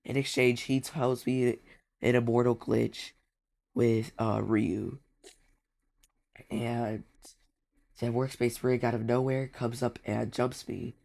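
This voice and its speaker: A man's voice: medium pitch, level tone, slow, vocal fry, long pauses, a little mellow. A young man with a congested, stuffy voice, telling a casual rambling story about a game and repeating himself often. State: congested from a cold. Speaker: gaming YouTuber.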